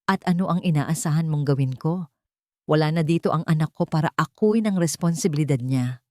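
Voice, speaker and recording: A cold older matriarch in an audio story, played by a woman narrator. She is stern and cutting, giving orders with open contempt in a fairly low voice, recorded dry. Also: a little fast, dark tone, dry recording, close to an everyday voice. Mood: cold anger. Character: cold, contemptuous older mother-in-law, harsh and commanding.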